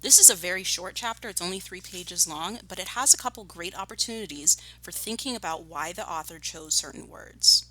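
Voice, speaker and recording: A woman primary school teacher reads to pupils and explains tasks deliberately. Her voice is slightly low for a woman, with a bright, forceful tone. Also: rough recording. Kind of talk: storybook read-aloud and lesson instruction.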